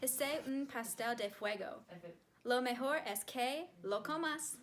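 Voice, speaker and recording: A young woman playing a male baking contestant in a school skit, in slow, careful learner's Spanish. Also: a little high. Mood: plain. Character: young male contestant Paco, age twenty-one. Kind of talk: student classroom skit.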